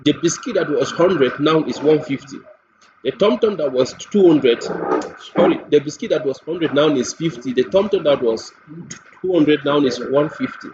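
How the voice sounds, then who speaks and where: A man with a Nigerian accent gives an unscripted, step-by-step spoken walkthrough as a software demonstrator. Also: a little high, moderate pace. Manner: step-by-step explaining. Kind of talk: screen-recorded product walkthrough, unscripted. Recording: dull lo-fi mic with faint noise.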